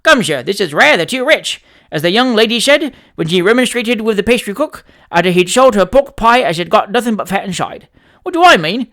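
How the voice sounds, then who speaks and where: A reader voicing a cheeky young male manservant who talks in a dogmatic, forceful, wordy way and drops letters in working-class dialect. The figure stays stubborn throughout. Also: medium pitch, very animated, a little fast.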